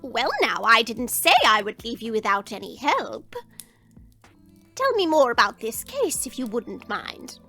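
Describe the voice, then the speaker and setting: A woman playing a kindly, ailing old village witch in a fantasy audio drama, speaking gently and formally. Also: a little high, sing-song, measured pace, faint music behind, exaggerated voice. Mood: shrewd. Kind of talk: fantasy audio roleplay acting.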